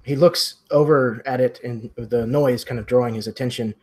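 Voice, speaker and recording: A man with a slightly rough-edged, medium-pitched voice runs a mystery role-playing game. He narrates in a measured, improvised way, pausing between phrases as he sets out the scene. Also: fairly even intonation, darkish tone. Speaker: game master.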